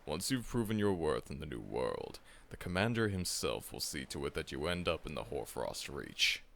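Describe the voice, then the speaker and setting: A man's voice: a bass voice, big pitch swings, moderate pace, vocal fry, a bit bright, a little hiss or hum, full character voice. A man voicing a seasoned, confident monster hunter who acts as a friendly mentor, reassuring the listener, calling them kid and chuckling often in an ASMR audio roleplay. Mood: encouraging.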